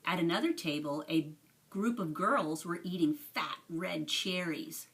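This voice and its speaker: A woman reading a children's storybook aloud with expressive, careful phrasing, recorded a little away from the microphone. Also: on the low side, measured pace, far from the mic. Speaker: storybook reader. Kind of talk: expressive read-aloud narration of a children's book.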